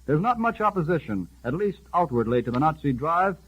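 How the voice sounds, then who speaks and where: A man reading a formal news bulletin for an old 1938 radio broadcast, urgent and rapid in his delivery. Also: on the high side, very animated, husky, lo-fi sound. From United States. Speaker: radio newscaster.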